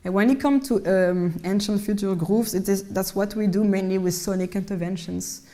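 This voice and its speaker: A woman with a naturally low voice talks casually about her creative work in a panel setting. She speaks in an easygoing way and often stops and restarts her words. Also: normal speed, dark tone. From Mali and France. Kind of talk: casual conversational talk.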